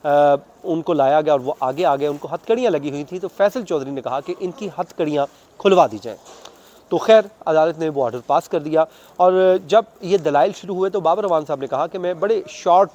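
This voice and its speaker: A man's voice: a little high, a little mellow, a little hiss or hum. A man with a Pakistani accent giving an unscripted, conversational news account as a court reporter, going through things step by step. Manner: conversational, detailed step-by-step recounting with English legal terms mixed in.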